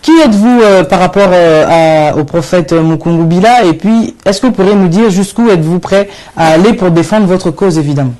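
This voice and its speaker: A woman interviewer asking pressing, somewhat halting questions. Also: on the low side, warm tone.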